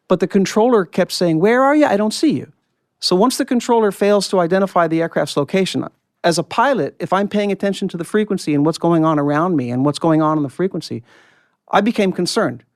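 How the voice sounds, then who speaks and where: A man speaks as an interview guest, explaining and recounting in technical, conversational detail. His voice sits on the high side and moves along very quickly. The sound is dry and close. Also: dry recording. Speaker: guest, former air traffic controller and pilot.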